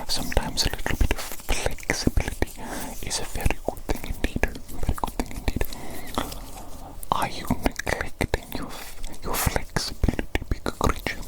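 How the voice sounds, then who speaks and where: Whispering woman in an ASMR roleplay. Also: poor audio. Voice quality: breathy, unvoiced whisper. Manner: slow, repeats phrases softly, playfully doting. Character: scheming evil genius examining a creature minion.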